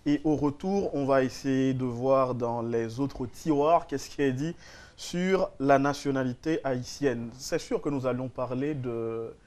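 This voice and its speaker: A man's voice: mid-range voice, a little slow, a little mellow. A man hosting a radio interview, prompting his guest and finishing his sentences. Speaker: radio talk show host.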